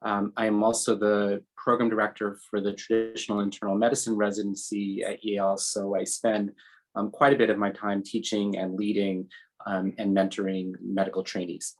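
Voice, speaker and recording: Man in his fifties from New York, physician, low voice, flat delivery. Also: normal speed, poor audio. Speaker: physician guest.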